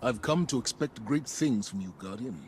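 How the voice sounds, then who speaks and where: A man's voice: medium pitch, animated, moderate pace, faint music behind, lightly acted. A man voicing a grave, dignified commander in a video game, stern but appreciative, formal and weighty. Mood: grave gratitude. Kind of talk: video game dramatic dialogue.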